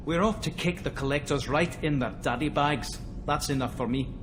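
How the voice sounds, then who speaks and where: A man's voice: on the high side, moderate pace, music in the background, natural voice. A man voicing a brash, blunt, cheeky starship engineer in a video game cutscene. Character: brash, outspoken, loyal male starship engineer. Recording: noisy ship ambience behind.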